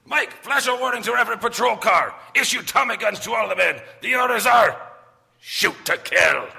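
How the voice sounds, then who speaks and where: An actor playing a brash, commanding police chief barking blunt orders in 1940s radio drama style. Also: male voice, high-pitched, expressive, moderate pace, creaky, sharp tone, soft background music, heavily acted. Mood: gruff, scornful. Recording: live stage, long hall reverb.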